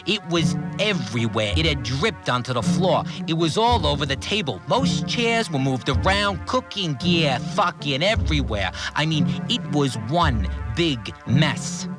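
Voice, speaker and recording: A man playing a blunt, irritable, obsessively tidy cleaner in a first-person audio drama, plain-spoken and quick to swear. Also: on the high side, big pitch swings, over loud music. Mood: exasperated, indignant. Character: obsessively orderly, irritable male cleaner, simple-minded rule follower. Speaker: voice actor in a fiction podcast.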